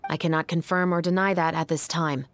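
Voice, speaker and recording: A woman's voice: sits low, steady tone, brisk, a little hiss or hum, lightly acted. A woman playing a dutiful, composed young musketeer captain with a formal, authoritative manner in an anime video game.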